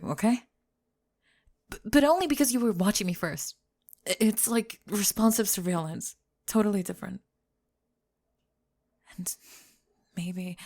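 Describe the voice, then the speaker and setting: A woman voicing a young goth bully girl in an ASMR roleplay, halting and defensive as she hides a crush. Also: on the low side, a little mellow, pitched below the performer's own voice. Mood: flustered, exasperated, then reluctantly confessing. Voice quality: breathy. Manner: tsundere, defensive, halting, backtracking.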